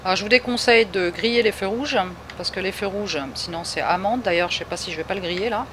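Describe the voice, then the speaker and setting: A woman's voice: medium pitch, fast, a bit bright, slight background noise. A woman from Paris with a Parisian French accent, chatting casually through a live game stream and answering viewers. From Paris, France. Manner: chatty, answers chat viewers, laughs often. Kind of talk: casual live gameplay commentary.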